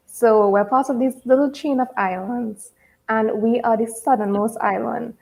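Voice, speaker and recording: A woman's voice: a little high, steady tone, moderate pace, creaky, a little mellow, low-quality recording. A woman from Trinidad and Tobago, chatting casually as a guest on a live interview show and laughing easily.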